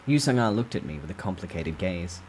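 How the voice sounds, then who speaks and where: A man with a fairly low voice tells a story in the first person. He reads dramatically, as a storyteller, with faint ambient noise behind him. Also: expressive, fairly quick, darkish tone, poor audio.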